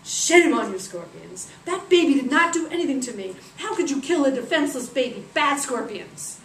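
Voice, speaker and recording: Stern storybook goddess, played by a female narrator, righteous and deliberate, echoey distant pickup. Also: mid-range voice, a little mellow, slight background noise, close to an everyday voice. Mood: scolding. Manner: deliberate, admonishing.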